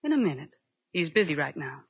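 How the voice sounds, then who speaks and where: An American actress playing a wry, world-weary secretary with a dry, deadpan delivery in a 1940s radio detective drama. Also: female voice, low voice, fairly quick, husky, full character voice. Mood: cool, dismissive. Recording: dull, noisy vintage radio recording.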